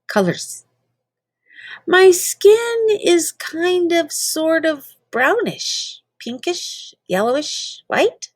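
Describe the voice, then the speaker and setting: Woman, high-pitched, unhurried, playful dramatic read-aloud of children's verse, drawn-out emphatic words, close dry sound. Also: very animated, no room sound. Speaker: reader of children's poems.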